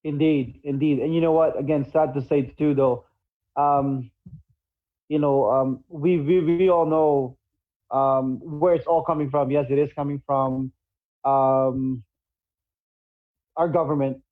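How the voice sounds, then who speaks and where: A man's voice: a little high, steady tone, a little slow, long pauses, phone-quality sound. A man co-hosting a casual current affairs chat, conversational and hesitant, often repeating phrases. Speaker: talk show co-host.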